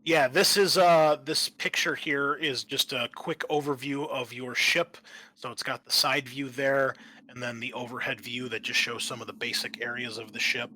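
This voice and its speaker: A man acting as game master, explaining a tabletop roleplaying game in a halting way. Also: medium pitch, fairly even intonation, bright, forceful tone, a little hiss or hum.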